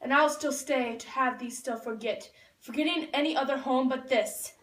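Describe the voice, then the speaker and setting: A woman's voice: high voice, normal speed, fairly bright tone. A woman playing an ardent, lovesick young nobleman in a puppet show, speaking romantic Shakespearean verse theatrically. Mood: adoring. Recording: roomy echo, distant microphone.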